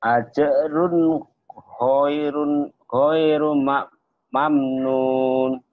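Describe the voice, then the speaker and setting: A man with a thick Javanese accent reads back syllables very slowly and hesitantly, repeating what his teacher models. His voice comes through a narrow, tinny call line, like audio from a phone. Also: a little high, fairly even intonation, no room sound. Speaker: adult student. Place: home, on a video call.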